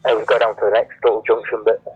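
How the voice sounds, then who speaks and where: A man's voice: lowish voice, level tone, racing, slightly rough, no echo. A man with a British accent talking casually over a two-way radio as he directs traffic at road works. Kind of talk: casual work radio chatter. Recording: muffled, band-limited two-way radio transmission.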